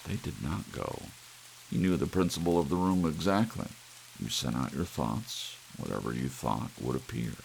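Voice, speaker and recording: A low-voiced man narrates a story as an ASMR audiobook. His delivery is gravelly and quite animated in pitch, over soft rain and a faint hiss. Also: normal speed, rough recording. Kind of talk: scripted story narration, ASMR bedtime reading. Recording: hissy, soft rain sounds behind.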